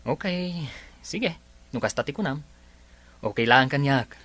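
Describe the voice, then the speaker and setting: A man's voice: mid-range voice, animated, a little slow, fairly bright tone, no echo. A man with an Ilocano Filipino accent playing a supportive, easygoing buddy in a radio drama. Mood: friendly, obliging.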